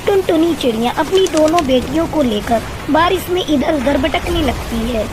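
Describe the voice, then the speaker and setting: A woman's voice: high-pitched, expressive, normal speed. A woman reading scripted narration for a children's story. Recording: clearly noisy background.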